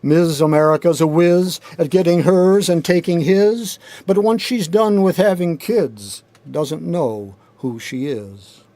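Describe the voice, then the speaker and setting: A man reading his own poetry aloud in a deliberate, rhythmic, dramatic spoken-word style, recorded in a studio. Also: a little high, a little mellow, no room sound. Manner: deliberate, measured, rhythmic delivery with pauses. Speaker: poet reading own work.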